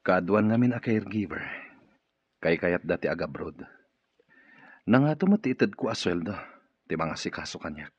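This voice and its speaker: An actor playing a gruff, suspicious, irritable wealthy boss in a Filipino radio drama, speaking curtly with a Filipino accent. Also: male voice, deep voice, expressive, normal speed, long pauses, no room sound, muffled recording. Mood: impatient. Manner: curt, questioning. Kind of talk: Ilocano radio drama acting.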